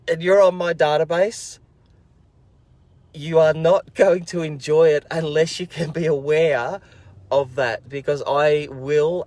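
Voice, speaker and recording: A man, hosting a children's learning program and chatting casually to camera in an upbeat, rambling, encouraging way. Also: fairly high pitch.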